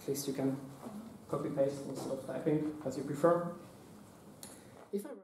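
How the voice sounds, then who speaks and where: A man, giving a hands-on workshop talk at a conference, speaking haltingly with many fillers and restarts. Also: mid-range voice, steady tone, a little mellow, a little hiss or hum. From Hungary. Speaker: workshop presenter. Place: conference room. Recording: echoey room, mic at a distance.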